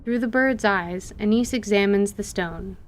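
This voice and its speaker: A woman's voice: mid-range voice, a little slow, a little hiss or hum. A woman giving scripted, present-tense narration for a fantasy audio drama.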